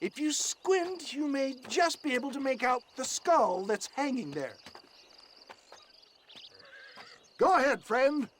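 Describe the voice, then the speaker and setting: A man's voice: extremely high pitch, fairly bright tone, slight background noise, full character voice. A man acting in a Western video game as a grandiloquent old snake-oil salesman, with theatrical hawker's patter. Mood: showman's bluster. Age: older.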